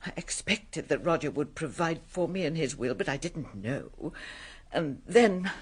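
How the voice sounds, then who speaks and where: Woman acting anxious, snobbish genteel lady, husky. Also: low voice, expressive, a little slow, exaggerated voice. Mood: defensive, fretful. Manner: defensive, hesitant, fussy. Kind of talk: radio drama acting.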